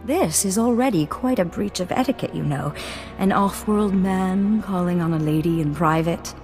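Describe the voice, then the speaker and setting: A woman's voice: lowish voice, normal speed, a little mellow, faint music behind, close to an everyday voice. An actress playing a proper young noblewoman in a video game, speaking in a formal, refined manner. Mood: poised. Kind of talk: video game cutscene acting.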